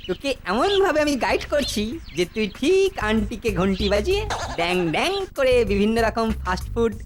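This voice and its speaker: A woman's voice: a little high, very animated, brisk, a little hiss or hum. A woman voicing a cocky boy sidekick in a children's cartoon, teasing and boastful.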